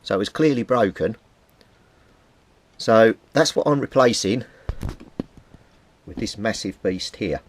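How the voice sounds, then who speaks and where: Low-voiced British man, casual demonstrator. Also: takes it slowly, long pauses, no echo. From Britain. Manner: informal, thinking aloud while handling equipment. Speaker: hobbyist radio repairer presenting a video. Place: indoors at a cluttered workbench.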